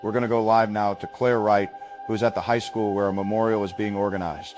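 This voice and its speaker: Man acting local news anchor, lowish pitch, sober, formal, polite, breaking news audio drama, music bed. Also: little pitch movement, fairly quick, a little mellow, lightly acted.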